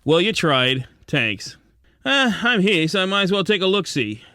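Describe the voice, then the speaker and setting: A man's voice: high voice, big pitch swings, moderate pace, pushed and bright, slight background noise, heavily acted. An actor playing a plucky, wisecracking penguin detective who tells his case in slangy hard-boiled style for a comic audio drama. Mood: inquisitive, breezy. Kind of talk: scripted comic noir narration and dialogue.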